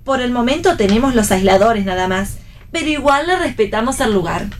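An actress playing a guarded, wry young pregnant wife in a radio play, with an Argentine accent and a curt, dry manner. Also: female voice, a little high, lively intonation, brisk, a little hiss or hum, close to an everyday voice. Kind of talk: radio theatre play reading.

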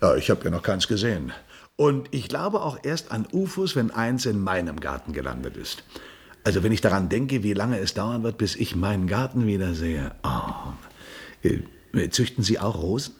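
An actor playing a flustered, chatty astronaut in a 1970s German radio play, stammering in astonishment and talking to himself. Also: male voice, on the low side, very animated, moderate pace, breathy edge, exaggerated voice. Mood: relieved, chatty. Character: flustered, chatty male astronaut landed on strange planet.

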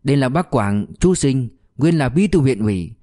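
A man's voice: medium pitch, animated, normal speed, dark tone, dry recording, studio quality, voice pushed up, lightly acted. A man voicing an eager, formal male deputy director in a novel reading. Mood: eager, polite. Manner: formal, official.

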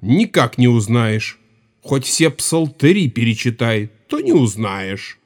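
An actor playing a tipsy, talkative and superstitious Cossack servant, insistent and emphatic, in an audio drama. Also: male voice, medium pitch, animated, slow. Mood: dismissive. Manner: swearing emphatically, garrulous. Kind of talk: Russian audio drama dialogue.

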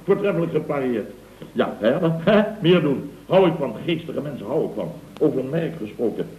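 A man's voice: medium pitch, lively intonation, normal speed, darkish tone, close to an everyday voice. A man playing a blustering, self-righteous, jokey contractor boss, pompous and repetitive, in an old radio comedy serial. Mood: gossipy, amused. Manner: pompous, exclamatory, repeats himself in clipped bursts. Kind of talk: 1970s Dutch radio comedy acting. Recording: roomy, dull, noisy old radio recording.

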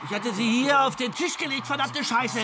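An agitated bandleader rants and curses in an extremely high voice. He is frantic and demanding, in radio drama style. Clear background noise surrounds him. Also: normal speed. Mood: frantic, angry. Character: frantic, foul-mouthed male bandleader hunting his pills.

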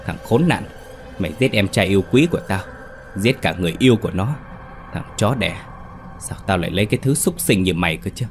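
A man's voice: fairly even intonation, a little slow, pauses often, faint music behind. A man voicing a terrified, scheming woman who sobs and pleads for her life in a spoken horror story. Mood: accusing. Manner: sobbing, shrill pleading.

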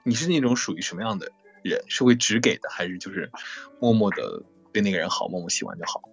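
A man's voice: lowish voice, lively intonation, quick pace, vocal fry, slightly rough. A man hosting a casual question-and-answer chat over an online voice call, often repeating and rephrasing his questions, with music behind him. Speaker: host of a cast chat about an audio drama.

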